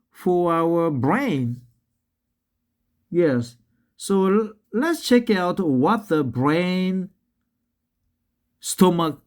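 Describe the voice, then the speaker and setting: A man with a Korean accent, a native Korean speaker practicing English in a casual vlog, slightly tipsy and halting, full of hesitation fillers. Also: a little high, darkish tone. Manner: halting, many drawn-out fillers. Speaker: English learner vlogging. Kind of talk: casual talk and reading aloud.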